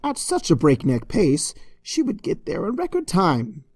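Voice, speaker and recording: Narrator reading a silly fanfic, really animated and mock-grand, very dry close sound. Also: mid-range voice, measured pace, slightly rough, no echo. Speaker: fan fiction narrator. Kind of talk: theatrical comic scripted narration.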